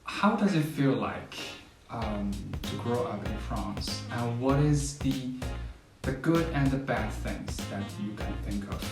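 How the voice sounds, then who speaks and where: A man's voice: mid-range voice, lively intonation, unhurried. A man hosting his vlog, interviewing a guest in a friendly, upbeat way. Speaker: vlog host. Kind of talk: casual interview. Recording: echoey room, mic at a distance, guitar music behind.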